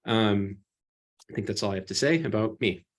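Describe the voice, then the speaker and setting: A man speaking as an academic research panelist, introducing himself on a webinar with frequent pauses and ums. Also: fairly low pitch. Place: remote webinar call.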